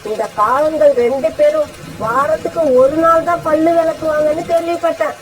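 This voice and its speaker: Woman doing a bossy threatening eagle, high voice, cartoon, slight noise. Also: steady tone, normal speed, lightly acted, poor audio. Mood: indignant. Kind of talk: dubbed cartoon dialogue.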